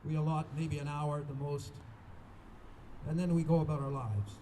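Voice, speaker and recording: A man with a very dark, soft-edged voice that sits a little high delivers an informal closing address. He is earnest and deliberate, breaking his thoughts into short phrases as he urges his listeners on. There is noticeable background noise behind him. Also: level tone, poor audio. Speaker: ceremony host giving closing remarks.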